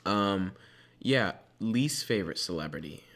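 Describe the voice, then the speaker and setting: A young man in his late teens co-hosting a podcast among friends, talking in an excitable, rambling way with mock-preachy jokes. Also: low voice, a little slow. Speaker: podcast co-host. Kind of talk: casual chat among friends.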